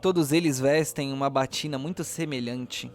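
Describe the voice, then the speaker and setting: A man narrating a tabletop role-playing game as game master, with an expressive, theatrical delivery. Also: medium pitch, normal speed.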